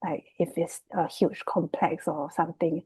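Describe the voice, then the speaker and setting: Husky Singaporean woman, slightly low and mellow. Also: little pitch movement, normal speed, no echo. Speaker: guest, property investment student. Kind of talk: casual unscripted presentation. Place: remote video call.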